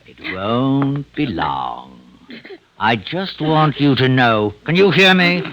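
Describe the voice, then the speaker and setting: An actor playing a nervous, spiteful doctor who poisons in secret, in a hesitant, mannered vintage radio suspense style. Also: male voice, medium pitch, sing-song, slow, fairly bright tone. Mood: gloating spite. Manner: hesitant, mannered, theatrical, muttering and gloating. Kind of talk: old-time radio suspense acting and first-person narration. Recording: vintage radio drama recording, music behind.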